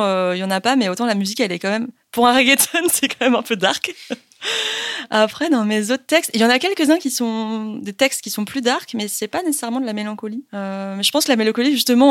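A woman's voice: on the high side, no room sound, studio quality. Hesitant, laughing French woman musician. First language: Spanish. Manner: hesitant, many fillers, laughs often. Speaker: singer-songwriter guest. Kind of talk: interview answers.

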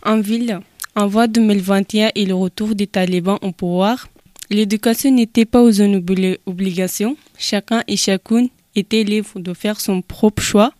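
A woman's voice: medium pitch, fairly even intonation, moderate pace, a little mellow, no room sound. Young woman, dry close sound. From Afghanistan. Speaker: speaker giving a personal testimony. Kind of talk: scripted testimony read aloud.

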